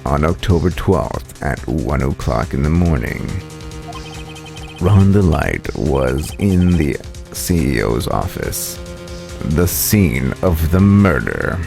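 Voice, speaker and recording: A man's voice: very deep voice, very animated, slow, creaky, music in the background, heavily acted. A man voicing a smug, menacing rival prosecutor in a video game, with a drawling, taunting manner. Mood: smug, accusing.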